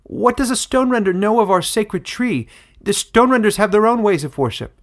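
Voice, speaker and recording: Man as a high-pitched, sceptical village woman. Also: expressive, brisk. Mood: distrustful. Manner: insistent, questioning.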